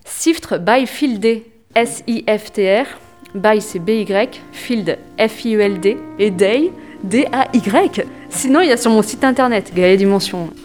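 This is a young woman answering interview questions for a local environmental group. She hesitates often and fills gaps with "euh", and she slows right down to spell names letter by letter. Her pitch sits in an ordinary middle range. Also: animated, over music. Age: young adult. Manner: hesitant, many fillers, spells words out slowly. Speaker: environmental association volunteer.

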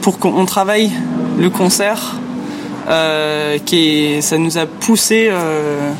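A woman whose first language is English, answering interview questions in French as a musician, pausing often to search for words. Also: sits low, background noise.

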